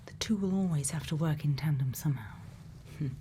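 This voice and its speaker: A woman playing a gentle, quietly kind scientist in a soft, often whispered ASMR roleplay, soothing and reassuring with many pauses. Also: sits low, slight background noise, natural voice. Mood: gently curious. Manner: slow, soothing, pausing, reassuring. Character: gentle female scientist, quietly kind, programming a robot friend.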